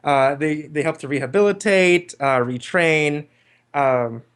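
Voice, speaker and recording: A man's voice: fairly high pitch, unhurried, a bit bright, clear, resonant tone. A man hosting a livestream in casual group chat, with hesitant, rambling explanations. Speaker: livestream host.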